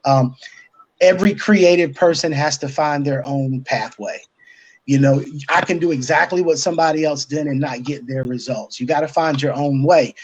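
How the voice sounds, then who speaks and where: A man with a fairly high-pitched voice talks as a guest on a panel. He offers advice conversationally, feeling his way through his thoughts with halting phrases. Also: low-quality recording. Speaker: guest, stage play writer-director.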